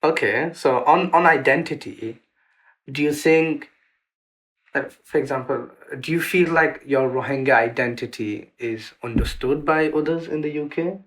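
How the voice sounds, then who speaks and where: Gentle podcast interviewer. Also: mid-range voice, normal speed, poor audio. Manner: gentle, prompting questions.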